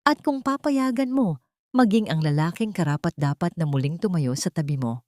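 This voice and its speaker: A woman voicing a repentant man and devoted father in an audio story, soft, earnest and humble. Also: fairly low pitch, expressive, normal speed, no echo, clean studio sound, lightly acted. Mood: earnest pleading.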